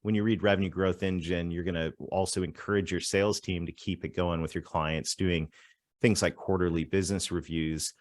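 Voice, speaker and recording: A Canadian man with a fairly low voice, recorded dry and close on a podcast mic. He chats as an interview guest in an upbeat, storytelling way. He breaks into laughter often as he talks. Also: steady tone, a little fast, dry recording. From southwestern Ontario, Canada. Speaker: guest, growth strategist.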